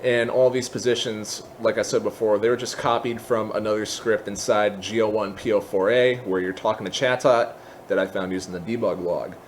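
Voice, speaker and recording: A man hosting an informal software tutorial, explaining things step by step with conversational asides. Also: fairly low pitch, steady tone, normal speed, a little hiss or hum. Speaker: tutorial host.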